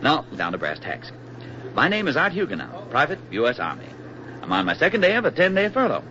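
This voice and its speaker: An actor playing an affable, eager young army private on furlough in a folksy, talkative 1940s radio drama style. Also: male voice, fairly high pitch, wildly swooping pitch, a little fast. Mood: cheerfully persistent. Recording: muffled, noisy old 1940s radio recording.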